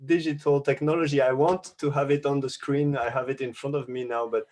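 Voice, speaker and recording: Brief: male webinar moderator, flat, even delivery, halting and full of restarts, heard over a video call. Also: medium pitch. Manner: halting, many restarts and fillers; says a French thank-you.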